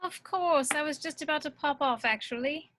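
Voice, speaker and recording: A woman reading a chatty, high-voiced village florist. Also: moderate pace, a bit bright. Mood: confiding. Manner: chatty, confiding, rambling. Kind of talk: table read over video call.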